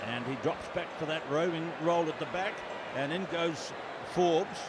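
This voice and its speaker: A man giving live play-by-play rugby commentary, briskly calling out players' names as the action unfolds. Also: on the high side. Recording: commentary over loud stadium noise.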